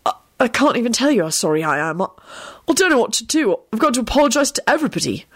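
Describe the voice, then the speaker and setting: A woman's voice: on the high side, big pitch swings, fast talker, breathy edge, pitched above the performer's own voice. A woman voicing a frantic, remorseful young man in a story, stammering and babbling apologies.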